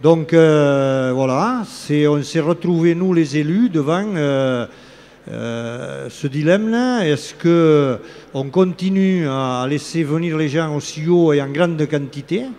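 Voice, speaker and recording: Hesitant older man, slightly high voice. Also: slow pace, a little mellow. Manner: hesitant, many fillers and restarts. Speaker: local elected official. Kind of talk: live talk-show interview. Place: open studio set at a trade fair hall. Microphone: red handheld microphone. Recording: faint fair hall ambience.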